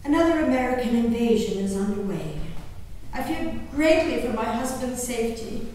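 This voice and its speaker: A woman performing a dramatic stage reading as a grieving, wayward colonial-era gentlewoman and mother, emotive and deliberate, drawing out her words. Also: a little high, sing-song. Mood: anxious, resolute. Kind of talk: theatrical dramatic reading of a diary. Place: live performance in a large echoey room. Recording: echoey hall, distant mic, faint noise.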